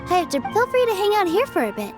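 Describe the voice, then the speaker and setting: A woman playing a gentle, hospitable young festival hostess in a video game, reassuring and polite. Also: very high voice, very animated, fast talker. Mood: welcoming. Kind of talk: English game voice acting. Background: music.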